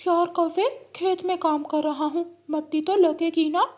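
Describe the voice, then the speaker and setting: A plain-spoken village parrot from a children's cartoon, voiced by a woman. The voice is extremely high, that of a humble, hardworking little male bird. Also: steady tone, measured pace, darkish tone. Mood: mildly defensive. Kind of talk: children's cartoon story acting.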